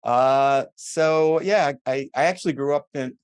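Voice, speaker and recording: A man's voice: on the high side, measured pace, smooth, no room sound. Older New York man, chatty podcast guest. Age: 70s. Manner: chatty, rambling, self-deprecating humor. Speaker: guest orthodontist. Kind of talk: casual podcast conversation.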